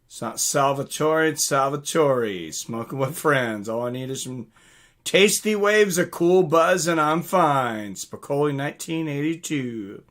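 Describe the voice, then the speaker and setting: A man's voice: fairly high pitch, lively intonation, unhurried, a bit bright. A man hosting a live stream, chatting casually and reading out comments from viewers.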